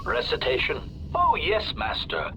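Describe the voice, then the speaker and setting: An actor playing a polite but sinister protocol droid in a video game, with robotic processing and formal, pedantic delivery. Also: male voice, mid-range voice, huge pitch swings, unhurried, background noise. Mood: coolly courteous. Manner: formal, pedantic, labels statements before saying them. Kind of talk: scripted video game dialogue.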